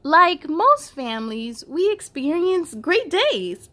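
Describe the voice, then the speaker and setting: A woman reading a picture book aloud to children in an animated, playful way, adding chatty little asides. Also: very high-pitched, takes it slowly. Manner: playful, giggly, animated storytelling delivery.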